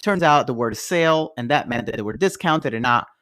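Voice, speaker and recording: A man who grew up in Italy with Italian as his first language, co-hosting a podcast and telling stories in a lively way, laughing as he goes. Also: mid-range voice, a little fast. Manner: animated storyteller, laughs while telling stories. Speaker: podcast co-host. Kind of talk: casual chat.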